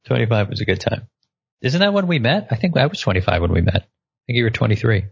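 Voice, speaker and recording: A man in his late twenties co-hosting a casual chat, animated and often repeating words as he starts a thought. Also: mid-range voice, quick pace, gravelly, breathy edge, no echo. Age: 20s. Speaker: podcast co-host. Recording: muffled lo-fi audio.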